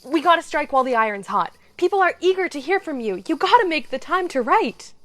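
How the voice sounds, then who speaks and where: Upbeat publisher, high voice, pushy, brisk, persuasive, audio drama. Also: female voice, sing-song, fairly bright tone. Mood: pushy, enthusiastic.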